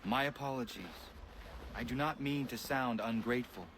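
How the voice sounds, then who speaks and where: A man's voice: medium pitch, normal speed, a bit bright, a little hiss or hum, lightly acted. An actor playing an earnest, principled young man in a video game, formal and stubborn in speech. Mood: grateful. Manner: formal, earnest, few contractions.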